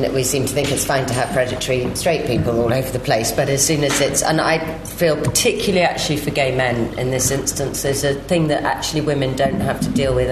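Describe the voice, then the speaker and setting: A woman giving her opinions conversationally as a panellist in a live discussion. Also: extremely low pitch. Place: live festival panel in echoey room. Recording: echoey noisy room.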